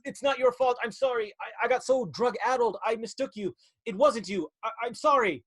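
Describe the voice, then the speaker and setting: A man's voice: very high voice, fairly quick, fairly bright tone, pitched above the performer's own voice. A man voicing a disfigured, troll-like man who blusters and then grovels. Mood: groveling.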